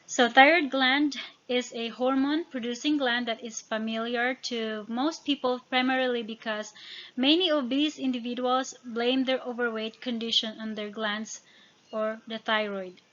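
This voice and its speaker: A woman with a Filipino accent and Tagalog and Visayan as first languages, narrating an informal lesson from notes and halting over technical words. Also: fairly high pitch, steady tone, a bit bright, muffled recording. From Philippines. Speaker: educator.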